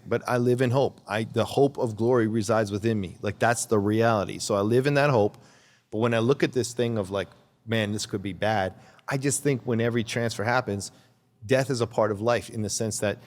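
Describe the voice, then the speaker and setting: A man's voice: fairly low pitch, big-room sound. A man talking as a guest in a casual interview, rambling and repeating words as he searches for his thoughts. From Canada.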